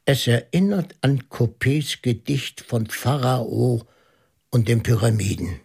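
An actor playing a shrewd, thoughtful priest detective in a German radio drama, reasoning in a deliberate, ponderous way. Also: male voice, mid-range voice, vocal fry, darkish tone, breathy edge, heavily acted. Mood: solemn, reflective.

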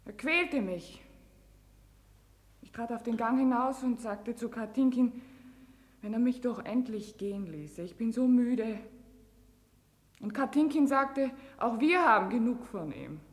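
High-voiced weary accused young woman, hall reverb. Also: lively intonation, a little slow, long pauses. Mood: weary, confessing. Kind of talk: German radio drama courtroom acting.

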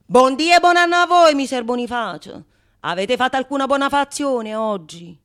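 A woman's voice: sits high, lively intonation, moderate pace, a bit bright, faint music behind, pitched above the performer's own voice. A woman playing a witty, teasing male painter in a theatrical radio monologue, sly and fond of wordplay. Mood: mock-hearty. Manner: sly, mock-impressed, theatrical.

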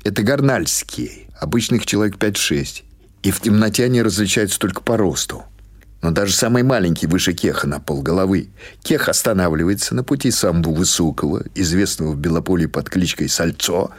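A man's voice: low voice, lively intonation, fairly quick. A man reading literary fiction aloud as a scripted audiobook narration.

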